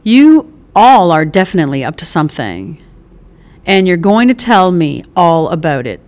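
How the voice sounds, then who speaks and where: A woman reader voicing a brash, affectionate middle-aged mother just out of prison, loud, bossy and teasing, full of motherly endearments. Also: fairly low pitch, big pitch swings, moderate pace.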